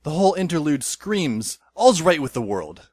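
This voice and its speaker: A man's voice: on the high side, very animated, moderate pace, darkish tone, breathy edge, no room sound. A man playing a wry teenage schoolboy who narrates an audio drama in a dry, descriptive way.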